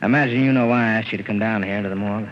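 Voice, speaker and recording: Blunt, businesslike homicide detective played by an actor. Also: male voice, fairly low pitch, steady tone, very fast, lightly acted. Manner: matter-of-fact, clipped. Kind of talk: 1940s radio drama acting. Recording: muffled, noisy old radio recording.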